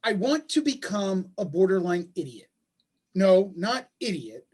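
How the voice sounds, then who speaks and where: A man's voice: high voice, big pitch swings, unhurried, a little mellow, slightly rough, heavily acted. A man playing a bitter, anguished father and husband in a staged play reading, speaking with emphatic insistence over a video call. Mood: reading aloud, wry.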